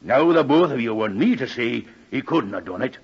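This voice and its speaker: Old rogue captain, greedy and wheedling, drawl, swooping delivery, muffled old radio sound. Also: male voice, mid-range voice, expressive, normal speed, slightly rough. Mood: grumbling. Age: older. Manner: wheedling, drawling, archaic sailor talk. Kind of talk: 1950s radio drama acting. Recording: muffled vintage radio recording.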